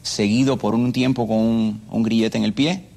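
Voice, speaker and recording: A man's voice: mid-range voice, fairly even intonation, normal speed, gravelly, darkish tone. A Cuban man with a Cuban Spanish accent, a guest earnestly telling his personal story and often repeating his phrases. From Cuba. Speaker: interview guest. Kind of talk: personal testimony.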